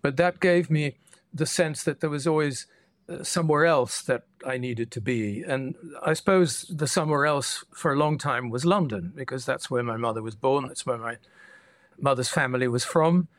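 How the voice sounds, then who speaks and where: An older man from the Netherlands, a guest historian, answering interview questions in a measured, reflective way. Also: medium pitch, no echo, clean studio sound. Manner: measured, thoughtful, with frequent restarts.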